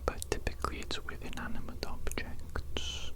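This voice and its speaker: A performer whispering as an evil scientist who tests a psychic potion on the listener and calmly insults them. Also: normal speed, natural voice. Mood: mocking. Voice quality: unvoiced whisper throughout. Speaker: ASMR roleplay creator. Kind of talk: whispered ASMR roleplay.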